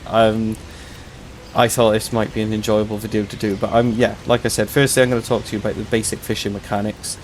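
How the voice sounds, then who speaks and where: A man hosting a casual game walkthrough, his voice a little hoarse and tired, explaining things in a chatty, rambling way. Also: on the low side. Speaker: host of a gaming channel.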